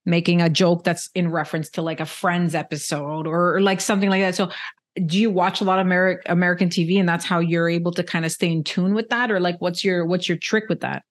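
A woman's voice: on the low side, steady tone, quick pace. A woman hosting an interview, asking long, chatty questions with fillers. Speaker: interview host.

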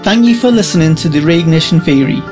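A man's voice: a little high, expressive, fast. A man reading scripted end credits as the announcer of an audio drama. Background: plucked guitar music.

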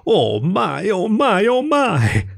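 A man's voice: mid-range voice, big pitch swings, normal speed, darkish tone, husky. A man voicing a naive, polite little mole from a storybook, eager and earnest. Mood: awed, excited. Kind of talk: storybook character voice.

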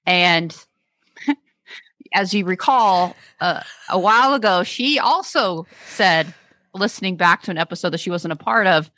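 A woman's voice: lowish voice, lively intonation, a little slow, bright, forceful tone, no room sound. A woman with a Texan accent co-hosting a podcast in casual chat, rambling with restarts and laughing often. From Texas, United States. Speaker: podcast co-host.

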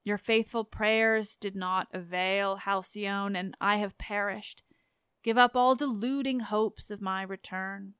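A woman voicing the ghost of a drowned husband, speaking in a hushed, slow, sorrowful way. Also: medium pitch, fairly even intonation, clean tone, no echo, clean studio sound. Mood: mournful.

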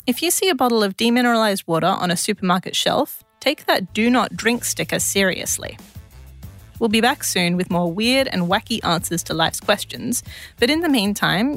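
A woman's voice: medium pitch, expressive, normal speed, a bit bright, soft background music. A woman with an Australian accent presents a scripted science explainer in a conversational, lightly wry way.

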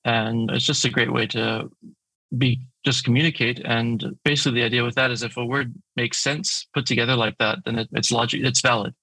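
A man's voice: mid-range voice, steady tone, a little fast, gravelly, pushed and bright, no echo, poor audio. A man who is a guest on an interview, explaining his subject with enthusiasm and plenty of examples. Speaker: guest, language project founder. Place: remote call from his home city.

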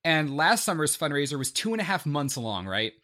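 A man telling stories in casual podcast chat, excitable and repeating words for emphasis. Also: a little high, a little fast. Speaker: guest, charity streamer.

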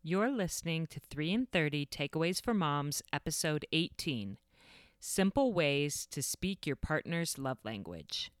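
Upbeat mom podcast host, smooth clear voice, dry close mic, bit of music under. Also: female voice, low voice, lively intonation, a little slow, no echo. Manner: upbeat, earnest, reads from a script. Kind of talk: scripted podcast intro and interview.

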